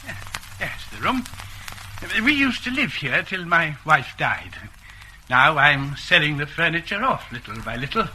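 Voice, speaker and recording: High-voiced, chatty old shopkeeper. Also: male voice, very animated, unhurried, pushed and bright, a little hiss or hum, heavily acted, poor audio. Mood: wistful, chatty. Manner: hesitant, rambling, chuckling, wistful. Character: chatty, genial old widowed junk shop keeper. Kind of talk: radio drama acting.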